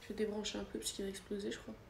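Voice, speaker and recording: A woman's voice: medium pitch, completely flat delivery, rapid-fire, vocal fry. A young woman with a cold, chatting casually on a phone livestream from her sofa and answering viewers' comments. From France. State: has a cold. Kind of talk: casual live chat. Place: living room, seated on a sofa, indoors. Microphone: phone camera at arm's length. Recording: echoey room with faint background noise.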